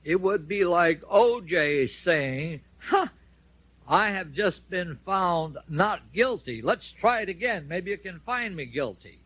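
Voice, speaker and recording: A man's voice: high-pitched, expressive, takes it slowly, fairly bright tone, dry recording. An older man giving a public speech, telling his own story in emphatic, short declarative statements. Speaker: speaker at a symposium, recounting his own legal case. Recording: dull lo-fi shortwave radio recording.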